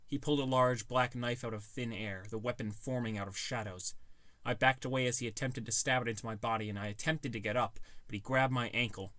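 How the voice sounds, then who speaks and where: A man's voice: mid-range voice, fairly even intonation, a little fast, sharp tone, dry recording. A man reading a scripted first-person fantasy story as an audiobook narrator.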